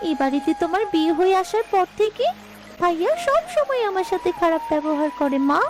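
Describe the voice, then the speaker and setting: Aggrieved young daughter for a cartoon drama: extremely high, swooping intonation, plaintive. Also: female voice, expressive, brisk, over loud music. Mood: tearful complaint. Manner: plaintive, complaining. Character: unhappy, aggrieved young daughter confiding in her mother. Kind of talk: melodramatic cartoon story acting.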